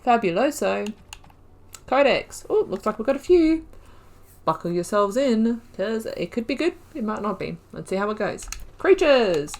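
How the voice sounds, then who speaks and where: A woman's voice: fairly high pitch, sing-song, moderate pace, darkish tone. A woman giving casual, chatty commentary on a game playthrough. She thinks aloud with enthusiasm and reads in-game lore entries aloud. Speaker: gaming channel host.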